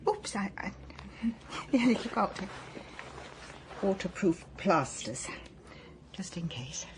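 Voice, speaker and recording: An actress playing an older wife left at home, speaking in a hesitant, halting, often hushed way. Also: female voice, mid-range voice, sing-song, background noise. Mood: resigned. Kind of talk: radio drama acting.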